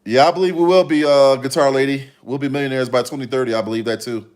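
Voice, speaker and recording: A man in his fifties talks casually to his livestream viewers. His voice sits at an average male pitch. He rambles along, circling back and repeating his phrases as he replies to the chat. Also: steady tone, fast talker, a little mellow. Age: 50s. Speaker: livestream host.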